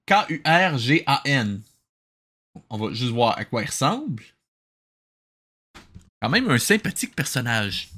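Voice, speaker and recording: Male comedic reviewer: sarcastic, casual, bright, very animated delivery. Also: medium pitch, husky. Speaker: host of a comedic cartoon review show.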